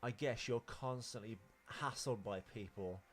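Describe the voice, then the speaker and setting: A man's voice: medium pitch, unhurried. A man co-hosting a podcast, chatting casually about films and celebrities in a rambling, sweary way. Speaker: podcast co-host.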